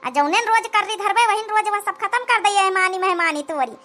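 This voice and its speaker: A woman voicing a scheming, flattering older village mother-in-law in a comedy cartoon, with an Awadhi accent and wheedling, rustic chatter. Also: very high-pitched, brisk. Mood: scheming, coaxing.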